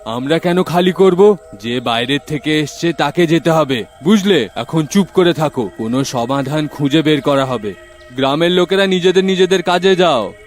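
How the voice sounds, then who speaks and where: A man's voice: fairly high pitch, animated, normal speed, faint music behind, close to an everyday voice. A man voicing a scheming, greedy male village headman who barks orders and cackles in a cartoon dub. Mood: bossy. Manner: barking orders, gloating cackle. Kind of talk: cartoon dubbing.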